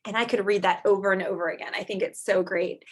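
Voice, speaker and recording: A woman's voice: mid-range voice, fairly even intonation, quick pace, breathy edge. A woman reading aloud to young children like a teacher, drawing out the story words expressively and adding chatty asides and questions.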